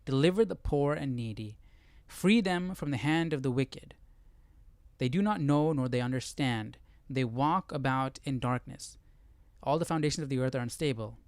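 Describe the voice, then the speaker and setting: A man's voice: medium pitch, moderate pace, no echo. A man hosting a live Bible study, reading aloud and thinking through it in casual talk.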